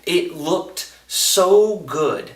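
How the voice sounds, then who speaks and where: A roomy, echoing recording of a man with a slightly high voice, a little way from the microphone. He reviews films casually and enthusiastically, in a colloquial, animated way, slipping into acted-out reactions as he goes. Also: drawn-out words. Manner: animated, colloquial, acts out reactions in quoted asides. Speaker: film reviewer. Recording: roomy echo, distant microphone.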